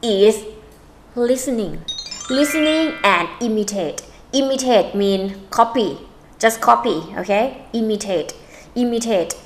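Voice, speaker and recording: A woman in her thirties with a Thai accent, teaching a casual lesson to camera in an animated way and repeating words. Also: a little high, a little slow, faint music behind. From Thailand. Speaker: language teacher. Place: indoor room with a holiday tree.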